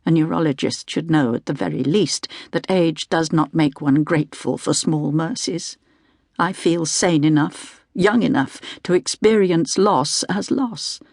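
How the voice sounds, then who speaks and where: A woman reading scripted first-person literary fiction as an audiobook narrator, in a measured, deliberate way. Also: sits low, darkish tone, no echo, studio quality.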